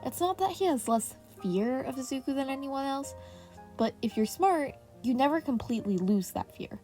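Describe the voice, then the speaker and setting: A woman's voice: sits high, lively intonation, moderate pace. A woman reading scripted fiction aloud as a podfic narrator. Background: soft piano music.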